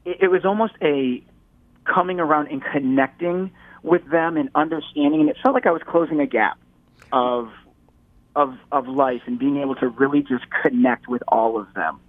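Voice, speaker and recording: A man's voice: on the high side, lively intonation, normal speed, no echo. A man from the Detroit area, a guest chatting casually in an interview, rambling with many restarts and repeated words. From Metro Detroit. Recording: tinny phone line.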